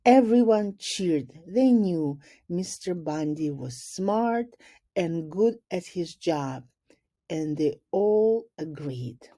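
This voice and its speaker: A woman with a fairly low, relaxed voice reads a picture book aloud. She takes her time and is deliberate, like a teacher who pauses to explain things to children. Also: no echo, clean studio sound. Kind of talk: storybook read-aloud with brief explanations.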